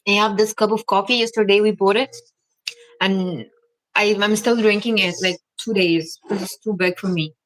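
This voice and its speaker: A woman's voice: mid-range voice, steady tone, moderate pace, poor audio. A woman from Egypt hosting a casual live-stream Q&A, chatting and reading viewers' comments aloud with lots of fillers. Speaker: vlog host.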